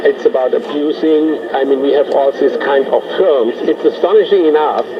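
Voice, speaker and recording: A man's voice: fairly high pitch, moderate pace, lo-fi sound, a crowd in the background. A man giving unscripted commentary as an interviewee, heard over a noisy shortwave radio. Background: radio static and noise.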